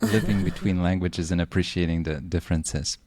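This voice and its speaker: A man from Poland, a native Polish speaker, hosting an interview podcast in a measured, thoughtful way. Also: low voice, fairly even intonation, darkish tone. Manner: measured, thoughtful, frequent mm-hmm. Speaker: podcast host. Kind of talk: interview conversation.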